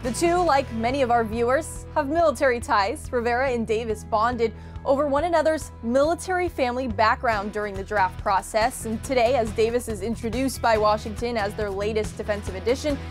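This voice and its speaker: A woman's voice: a little high, a little fast, faint music behind. A young woman anchoring a local TV sports show, reading scripted narration in a studio. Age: young adult.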